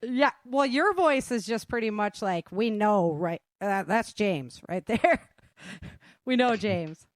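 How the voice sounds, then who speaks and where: A woman's voice: mid-range voice, lively intonation, takes it slowly, no echo, studio quality. A woman hosting a casual group chat, talking in a chatty, giggly way. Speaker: host and author of an audio drama.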